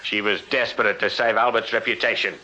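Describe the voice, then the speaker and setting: An actor playing a shrewd, unflappable British police sergeant in a Victorian radio drama, measured, formal and dryly authoritative. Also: male voice, lowish voice, fairly even intonation, bright, forceful tone. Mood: measured explaining. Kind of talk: BBC radio period drama acting. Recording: muffled, very noisy radio drama recording.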